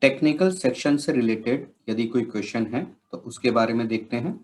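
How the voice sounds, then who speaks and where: A man with an Indian accent explains software steps as a trainer, in measured, instructional phrasing. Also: medium pitch. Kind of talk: instructional software tutorial narration.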